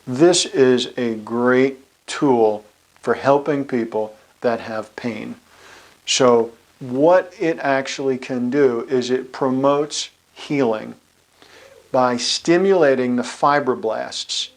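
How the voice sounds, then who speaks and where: A man, a chiropractor, giving an explanatory talk with slides, measured and slowing on key terms. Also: mid-range voice.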